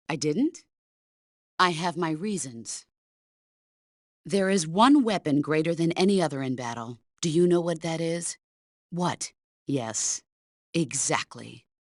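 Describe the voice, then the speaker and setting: A woman's voice: fairly low pitch, dry recording, clean studio sound. A middle-aged woman playing a stern, commanding veteran soldier who mentors her former protégé in a video game. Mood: measured, reflective. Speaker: military mentor character. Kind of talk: video game voice acting, radio briefing dialogue.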